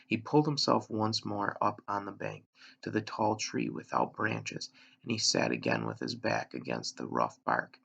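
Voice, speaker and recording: A man with a gravelly voice reading a children's novel aloud, measured, with dramatic pauses and emphasis. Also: lowish voice, expressive, fairly bright tone. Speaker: elementary school reader, story narrator.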